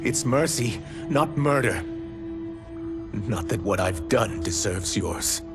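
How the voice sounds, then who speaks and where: A man's voice: fairly low pitch, expressive, takes it slowly, loud music behind the voice. An actor playing a guilt-ridden, desperate male reptilian warrior in a video game, speaking in an earnest, anguished way. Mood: despairing. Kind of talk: video game cutscene acting.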